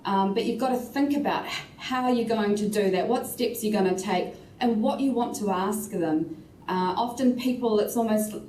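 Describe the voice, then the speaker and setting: A woman with a New Zealand accent speaking as a panelist, measured and thoughtful, with frequent "you know" and "um" fillers. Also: medium pitch, warm tone, reverberant, distant mic, a little hiss or hum, low-quality recording. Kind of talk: casual panel discussion.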